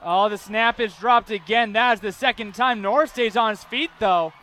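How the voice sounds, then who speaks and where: A man's voice: high-pitched, moderate pace, sharp tone. A young man giving live play-by-play commentary as a sports announcer at a game.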